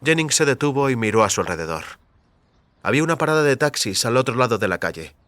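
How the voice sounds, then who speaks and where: A man narrating scripted audio fiction as a storyteller, in a measured, dramatic way. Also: mid-range voice, very animated, a bit bright, dry recording.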